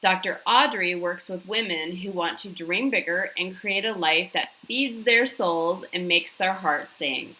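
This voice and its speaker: Woman host, bright and forceful, affirming, low-ish voice. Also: unhurried, few pauses, slight background noise, low-quality recording. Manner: enthusiastic and affirming. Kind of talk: interview hosting.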